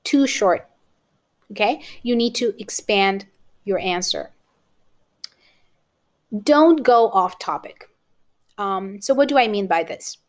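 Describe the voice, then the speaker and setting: A woman's voice: medium pitch, expressive, slow, long pauses. A woman with a Romanian accent teaching an exam-prep lesson to camera, encouraging and often checking in with "okay?". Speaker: English teacher.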